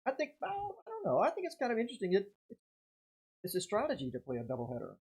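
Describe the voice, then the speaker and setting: Folksy baseball announcer, bit husky, chatty play by play, short little exclamations. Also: medium pitch, wildly swooping pitch, fast talker, a little mellow, soft background music. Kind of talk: casual live game commentary with chat.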